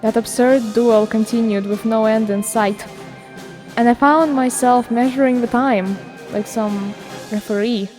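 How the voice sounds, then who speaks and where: A woman plays a blunt, dutiful vampire daughter in a fantasy game dub. The voice is fairly high, the wording formal and drily sardonic, with music underneath. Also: steady tone, unhurried, a little mellow, natural voice, low-quality recording. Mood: dry. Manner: formal, dry, sardonic diction. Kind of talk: dramatic game fandub acting.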